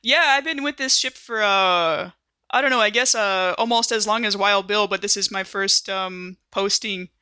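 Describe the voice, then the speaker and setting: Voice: medium pitch, moderate pace, pushed and bright, smooth, dry recording, clean studio sound, natural voice. A performer playing a friendly, joking young Marine medic in a sci-fi tabletop roleplay, rambling and informal. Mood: teasing, playful. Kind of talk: improvised in-character tabletop roleplay.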